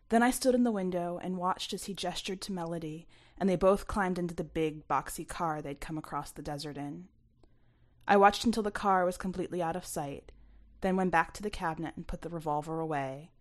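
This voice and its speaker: Lowish-voiced woman narrating a story. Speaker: author reading own short story. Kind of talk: scripted first-person fiction narration.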